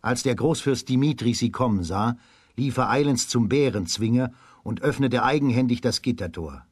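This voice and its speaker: A man giving measured, scripted storytelling narration for a children's radio drama. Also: medium pitch, slightly rough, dry recording, clean studio sound.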